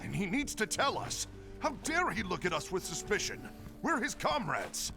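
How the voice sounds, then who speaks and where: A persuasive, indignant male leader in a game cutscene. His voice rises and falls with feeling, over clear game music. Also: high-pitched, wildly swooping pitch, moderate pace, heavily acted. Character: earnest, forceful young male leader. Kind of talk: video game cutscene acting. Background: game score music.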